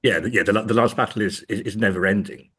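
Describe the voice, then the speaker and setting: A man's voice: fairly low pitch, quick pace, a bit bright, slightly rough. A man speaking as an academic guest in a discursive interview, hesitant and often repeating words as he thinks.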